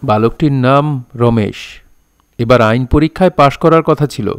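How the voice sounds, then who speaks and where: An actor playing a dignified literary storyteller in an audio drama, speaking formally and with measured care. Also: male voice, mid-range voice, clear, resonant tone, no room sound, close to an everyday voice. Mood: storytelling. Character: dignified storytelling landlord-poet, gently scolding his village listeners. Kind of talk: Bengali audio drama narration, literary diction.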